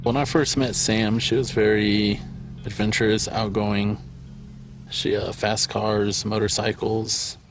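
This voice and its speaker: Plain-spoken guy, lowish voice, faint music behind. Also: level tone, slow. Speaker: interviewee, former partner. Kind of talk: interview.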